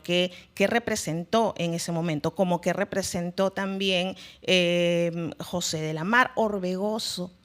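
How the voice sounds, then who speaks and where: A woman, a guest historian explaining her views reflectively in an interview, repeating phrases for emphasis. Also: on the low side. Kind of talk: informal academic discussion in an interview.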